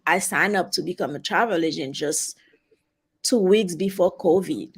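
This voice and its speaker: A woman in her forties from Haiti with a heavy Haitian accent, talking as an interview guest in a halting, casual way with many fillers. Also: lowish voice, breathy edge. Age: 40s. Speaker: guest, travel advisor.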